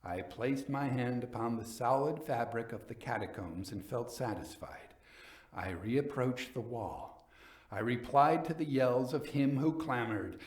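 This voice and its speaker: A man giving a dramatic reading as a coldly vengeful nobleman recounting his murder in a gothic tale, theatrical, deliberate and emphatic. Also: mid-range voice, echoey room. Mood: tense, intense.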